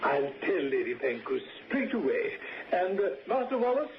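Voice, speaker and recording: An actor playing a courteous, chatty manor servant in a 1960s radio drama, formal and obliging. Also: male voice, sits high, sing-song, moderate pace, a little hiss or hum. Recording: muffled old radio, crowd murmur.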